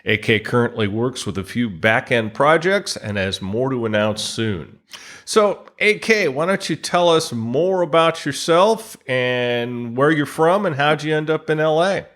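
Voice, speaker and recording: A man hosting an industry podcast, reading news items and a guest introduction from a script. His pitch sits in an ordinary middle range, and his delivery is steady. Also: a little slow. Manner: steady read-aloud delivery. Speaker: podcast host.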